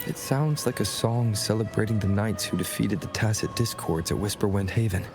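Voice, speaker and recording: An actor playing a thoughtful, observant male adventurer in a game, describing things in a measured, calm way. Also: lowish voice, lightly acted. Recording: music behind the voice.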